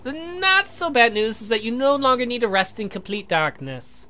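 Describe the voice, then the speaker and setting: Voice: mid-range voice, sing-song, normal speed, bright, forceful tone. A performer voicing a patient young male scientist in a visual novel, explaining things in a formal, precise, pedantic way. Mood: measured.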